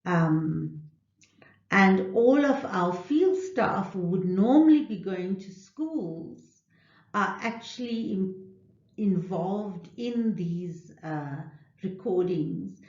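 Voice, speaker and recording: Slow-paced woman presenting. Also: mid-range voice, animated, a little mellow. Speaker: literacy programme presenter. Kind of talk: instructional presentation with tips. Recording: roomy, echoing, dull lo-fi audio.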